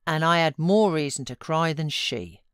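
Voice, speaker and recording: A woman's voice: deep voice, normal speed, fairly bright tone, smooth, no echo, studio quality. A British woman voicing a sulky, proud, self-pitying boy in a storybook reading. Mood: sullen, dejected.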